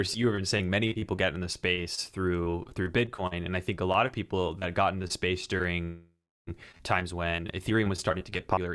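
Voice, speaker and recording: A man with a very low voice hosts an interview. He talks in a loose, chatty way and asks his guest broad, open questions. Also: fairly bright tone. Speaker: channel host, interviewer. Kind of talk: casual interview hosting.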